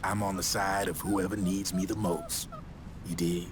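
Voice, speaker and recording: Man playing a low-voiced, slick streetwise arms dealer. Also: level tone, moderate pace, lightly acted. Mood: cool, cynical. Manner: slangy, smooth-talking, casual swagger. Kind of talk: dramatic video game voice acting. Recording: clear background noise and ambience.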